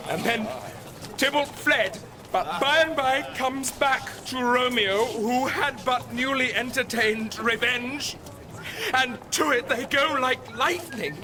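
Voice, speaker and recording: An agitated young gentleman of classical radio drama, his voice very high-pitched. He declaims verse urgently and loyally, with a crowd clearly heard behind him. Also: slow, low-quality recording. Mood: earnest, pleading.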